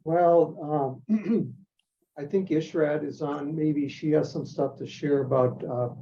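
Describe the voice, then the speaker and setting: A man's voice: a little high, slow, warm tone. A man talking informally as a participant in a conference call. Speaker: meeting participant. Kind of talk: informal meeting talk. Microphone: conference call, distant microphone. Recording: distant, dull call audio, noisy, clicks.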